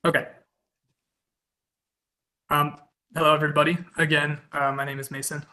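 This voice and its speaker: Bright-voiced young man testifying by video call. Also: fairly high pitch, little pitch movement, normal speed. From Kansas. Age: 20s. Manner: earnest, reads a prepared statement. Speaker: witness giving testimony. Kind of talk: prepared public testimony.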